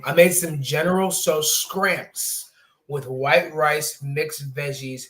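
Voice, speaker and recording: A man's voice: on the high side, steady tone, takes it slowly, a bit bright. A young man in his late twenties hosts a laid-back sports show. He talks in loose, rambling runs, full of ums and restarts, and keeps the chat moving between guests. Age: 20s. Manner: chatty, rambling, many fillers. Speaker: podcast host. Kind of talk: casual sports talk.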